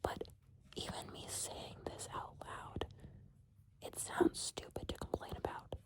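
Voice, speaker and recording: A woman speaking in a breathy, unvoiced whisper, rambling informally and haltingly in an ASMR style.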